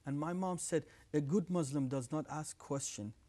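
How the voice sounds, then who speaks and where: A man's voice: fairly high pitch, normal speed, dark tone. A man in his thirties from Iran with an Iranian accent, earnestly telling his story as a guest in a TV studio on a lapel mic. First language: Farsi. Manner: earnest, gestures with hands while talking. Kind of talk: testimony in a studio interview. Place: TV studio set with living room decor.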